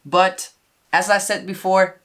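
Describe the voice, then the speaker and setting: A man's voice: sits high, fairly even intonation, slow pace, sharp tone. A man who produces music, talking through how a track was put together. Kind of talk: production walkthrough.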